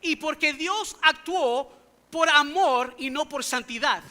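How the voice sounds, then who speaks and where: A man's voice: very high voice, takes it slowly, bright, forceful tone. A male pastor preaching a sermon in a church, emphatic and full of rhetorical questions to the congregation, speaking in a language other than his native English. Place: church sanctuary.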